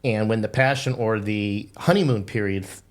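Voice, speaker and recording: A man's voice: on the low side, moderate pace. A man in his fifties hosting a podcast in casual chat, talking earnestly with a lecturing tone and some wry teasing, in a dim studio on a desk mic. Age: 50s. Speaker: podcast host.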